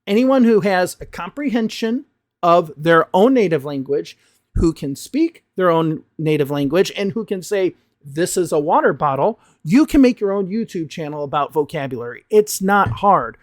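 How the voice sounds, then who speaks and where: A man, an English teacher, giving emphatic, animated commentary on a video he is reacting to. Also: high-pitched, normal speed, no echo, studio quality.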